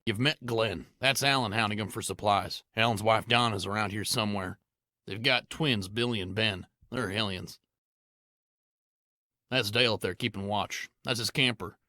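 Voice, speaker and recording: A man playing a steady survivor and loyal friend in a dubbed comic drama. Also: lowish voice, moderate pace, no room sound, studio quality. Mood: friendly, matter-of-fact. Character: steady male survivor, loyal friend showing newcomer around.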